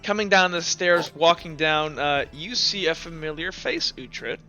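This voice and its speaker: Bright-voiced man narrating a game. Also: on the high side, dull audio, soft background music. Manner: halting, conversational. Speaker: game master. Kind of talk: casual improvised tabletop game narration.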